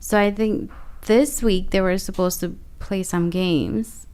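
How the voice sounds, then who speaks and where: A woman's voice: medium pitch, steady tone, slow, a little mellow, clean tone. A young woman from Colombia with a light Colombian Spanish accent, chatting casually as a podcast guest, with lots of likes, restarts and laughs. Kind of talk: casual conversation.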